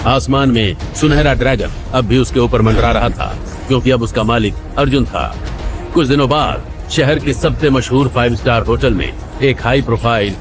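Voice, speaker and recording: A man's voice: medium pitch, normal speed, over music. A man narrating an audio drama in a dramatic, scripted storytelling style.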